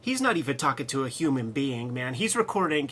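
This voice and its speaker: A man's voice: medium pitch, very animated, a little fast, a bit bright. A man co-hosting a casual comics discussion, enthusiastic and slangy, leaning hard on his points. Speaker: co-host.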